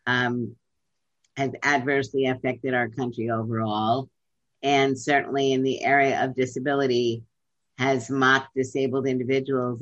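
Woman advocate talking slow and deliberate, lots of ums, dry muffled sound. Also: very deep voice, no room sound. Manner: measured, deliberate, with frequent pauses and fillers. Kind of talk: remote interview answers.

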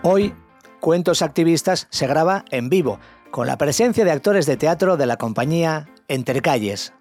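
A man's voice: fairly high pitch, expressive, normal speed, darkish tone, soft background music. A man with a Castilian Spanish accent reading scripted narration as a podcast presenter.

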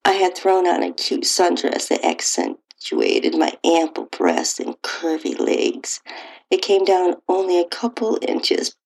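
A woman narrating a first-person erotic story in an intimate, breathy way, often dropping toward a whisper. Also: fairly low pitch, very animated, measured pace, poor audio. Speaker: podcast host reading own stories.

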